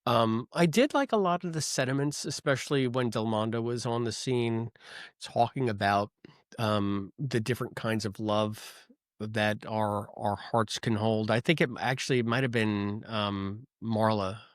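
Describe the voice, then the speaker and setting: A man co-hosting a podcast, talking through his thoughts in a casual, rambling way with frequent pauses and ums. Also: on the low side, no echo, clean studio sound. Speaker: podcast co-host. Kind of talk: casual review chat.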